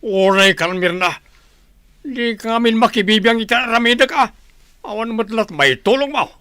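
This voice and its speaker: A man's voice: extremely high pitch, moderate pace, bright, forceful tone, clean tone, dry recording, studio quality. A man with an Ilocano accent playing a defiant, scheming, greedy villager in a radio drama. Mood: irritated.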